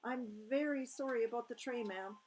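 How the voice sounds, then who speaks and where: A woman's voice: a little high, normal speed, a little mellow, pitched above the performer's own voice, close to an everyday voice. A woman voicing a timid quivering maid. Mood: anxious. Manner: deferential, quivering. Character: older palace maid, timid and respectful.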